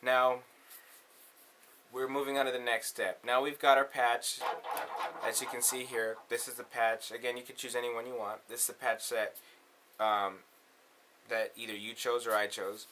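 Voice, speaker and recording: Bright-voiced man giving a casual tutorial. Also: mid-range voice, little pitch movement, normal speed, pauses often, a little hiss or hum. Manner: instructional, checks in often with okay. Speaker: tutorial presenter, musician. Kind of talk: casual step-by-step synth tutorial.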